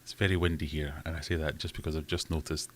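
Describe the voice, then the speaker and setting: A man's voice: very deep voice, level tone, fast, slight background noise. A man hosting a casual livestream from home, rambling and self-deprecating as he reads out chat comments. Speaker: livestream host.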